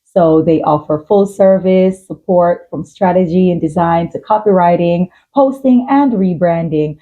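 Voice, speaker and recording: A woman's voice: on the low side, narrow, tinny audio. A woman hosting a podcast in a conversational, supportive way. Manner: affirming, supportive. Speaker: talk show host.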